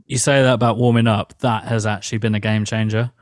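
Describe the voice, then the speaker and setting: A man's voice: lowish voice, level tone, fast talker, no echo, clean studio sound. A young man, a metal band's vocalist, talking casually as a guest and filling his speech with "like". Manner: rambling, heavy use of "like", laughs easily. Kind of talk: casual interview chat.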